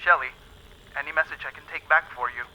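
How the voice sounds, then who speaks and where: An actor playing an earnest, sympathetic space lieutenant, heard through a radio comms filter in an audio drama. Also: male voice, on the high side, steady tone, fast, sharp tone, a little hiss or hum. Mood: gentle, sombre. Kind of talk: naturalistic audio drama acting. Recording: muffled, lo-fi radio transmission.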